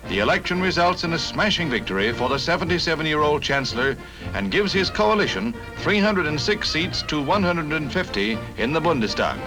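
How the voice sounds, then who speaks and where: An American man narrates a vintage 1950s newsreel in a fairly high voice. His delivery is punchy and dramatic, with very animated intonation. Music plays clearly behind him on the old soundtrack. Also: poor audio.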